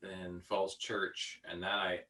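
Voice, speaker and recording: Guy with a lowish bright voice rambling casually, stops and starts, bit far from mic. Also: flat delivery. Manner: halting, rambling. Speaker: voice actor. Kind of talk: casual chat.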